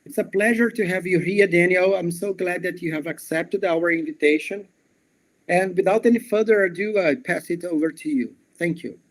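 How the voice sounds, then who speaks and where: High-voiced man hosting, polite. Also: normal speed. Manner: polite, formal. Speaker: webinar host. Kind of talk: formal introduction.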